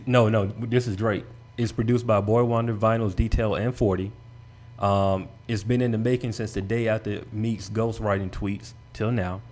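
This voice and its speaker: A man hosting a casual talk show, giving steady affirmations and reading out news updates. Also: lowish voice, moderate pace, slight background noise. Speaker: show host.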